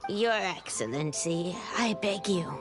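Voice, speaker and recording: A grave female villager in a fantasy game. She speaks slowly, imploring and unyielding, with music clearly audible underneath. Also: on the low side, animated. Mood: grave pleading. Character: grave, embittered female villager pleading with her leader.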